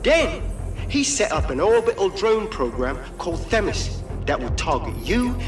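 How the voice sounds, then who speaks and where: A defiant rebel addressing a city in a game cutscene. His high, animated voice rings from a distance with echo, urgent and declamatory. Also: normal speed. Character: defiant male resistance broadcaster rallying a city. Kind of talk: dramatic video game cutscene speech. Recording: echoey, distant voice over loud music.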